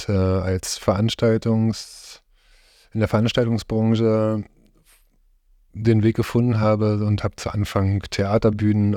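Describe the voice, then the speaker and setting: A middle-aged man from Germany with a low, flat, mellow voice. He talks in relaxed conversation, hesitates often and searches for his words. The recording is close and dry. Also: no echo. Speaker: interviewee, farm host.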